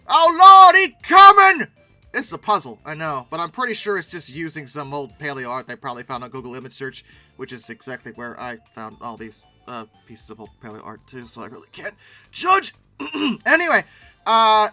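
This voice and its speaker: A bright, very animated host giving casual, funny commentary. The delivery swings around with wry asides and exasperated emphasis. The sound is close and dry, with music behind it. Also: mid-range voice, no room sound.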